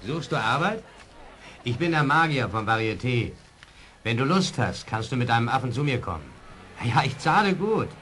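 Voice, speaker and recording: An actor playing a shady, confident fairground magician in a children's radio play, coaxing with drawn-out words. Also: male voice, mid-range voice, expressive, a bit bright, close to an everyday voice.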